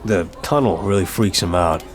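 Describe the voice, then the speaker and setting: A man's voice: sits low, normal speed, soft background music, lightly acted. A man playing an apologetic, flirtatious mafia boss who talks to a date in a teasing, earnest, affectionate way, in an ASMR roleplay. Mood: casual, affectionate.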